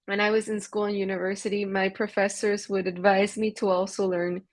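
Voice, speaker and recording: A woman's voice: mid-range voice, level tone, brisk, no room sound. A young American woman tutoring English in casual conversation, friendly, asking questions and laughing often. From USA. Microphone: video call.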